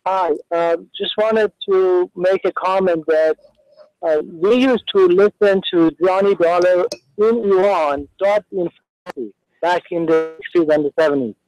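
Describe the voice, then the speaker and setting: A male caller to a radio show, sharing a quick, friendly anecdote. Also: high-pitched, darkish tone, no echo, highs cut off. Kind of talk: phone-in anecdote.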